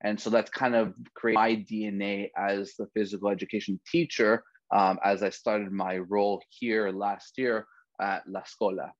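A man in his thirties from the Bay Area, a physical education teacher presenting over slides in a relaxed way, with many fillers and restarts. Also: lowish voice, moderate pace, dry recording. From San Francisco Bay Area. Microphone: video call. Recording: dry, dull lo-fi video call audio.

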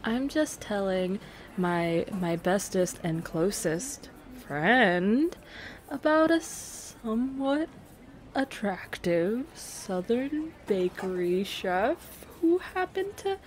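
A woman's voice: medium pitch, lively intonation, slow. A woman playing a playful, affectionate secret girlfriend in an intimate roleplay audio, hesitant and trailing off as she teases. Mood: teasing. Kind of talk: intimate roleplay audio, casual improvised-sounding chat. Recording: noticeable cafe ambience noise behind.

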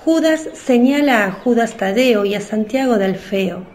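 A woman's voice: on the high side, animated, normal speed, a little mellow. A woman reading scripted narration for a religious radio drama.

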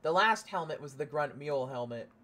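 A woman's voice: low-pitched, expressive, brisk, fairly bright tone. A young woman from Canada chatting casually and swearing while streaming a shooter game, laughing now and then. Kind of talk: casual gameplay commentary.

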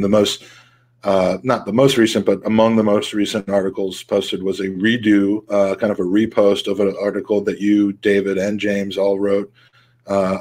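A man's voice: lowish voice, darkish tone. A middle-aged man hosting a podcast over a video call, asking long, casual, hesitant questions. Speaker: podcast host. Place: indoor room on a video call.

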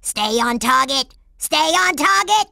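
A woman's voice: high voice, lively intonation, slow, vocal fry, crowd noise, full character voice. A woman voicing a laid-back, sassy girl agent in a cartoon video game. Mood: urgent.

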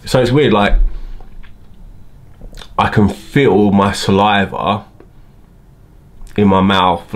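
Thirties male vlogger, low-ish voice, mild aligner lisp, casual chatty delivery at his home desk camera. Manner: chatty, talks while fiddling with his teeth. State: slight lisp from new clear teeth aligners. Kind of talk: casual unboxing vlog. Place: home room by a window.

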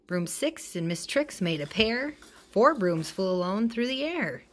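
A woman reading a children's picture book aloud in an expressive way, acting out the dialogue. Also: medium pitch, unhurried, slight background noise. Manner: expressive, acts out character dialogue. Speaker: storybook reader.

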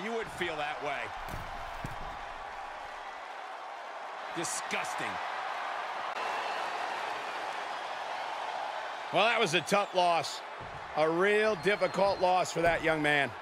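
A man playing an excitable, indignant wrestling announcer in a video game, calling the action with dramatic, emphatic exclamations. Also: fairly high pitch, moderate pace, close to an everyday voice. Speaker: play-by-play wrestling commentator. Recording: loud arena crowd noise. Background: roaring crowd and arena ambience.

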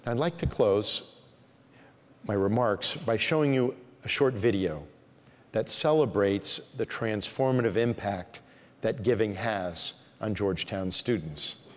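A middle-aged man, a university president, giving a measured, earnest prepared speech from a lectern in a chapel. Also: lowish voice. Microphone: podium microphones. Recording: long hall reverb.

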